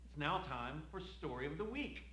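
A man's voice: on the high side, very animated, normal speed, bright, forceful tone, slightly rough, heavily acted, poor audio. An actor in his forties playing a creepy, gushing children's TV storytime host, talking to children with exaggerated sing-song enthusiasm. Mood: gushing praise. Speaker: children's show presenter. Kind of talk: 1990s children's TV presenting.

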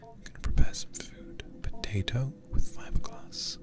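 A man doing hushed ASMR game commentary as a livestreamer, murmuring softly and often whispering close to the microphone. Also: unhurried. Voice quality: soft, breathy, often whispered. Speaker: livestreamer playing a horror game.

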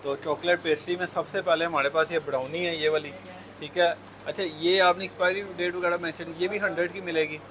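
A man's voice: a little high, fairly even intonation, brisk, a bit bright, low-quality recording. A young man from Pakistan with a Lahori Urdu accent, touring a bakery for his food vlog, chatty and keen, often saying "yaar". He wears a lapel mic in a busy shop. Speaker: food vlogger. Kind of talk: casual food vlog walkthrough. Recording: crowd chatter and traffic behind.

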